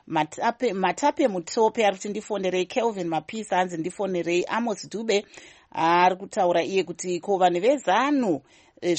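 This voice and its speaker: A woman's voice: mid-range voice, no room sound. A woman with a Zimbabwean accent hosting a live talk show, switching between Shona and English as she chats with listeners. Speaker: radio host.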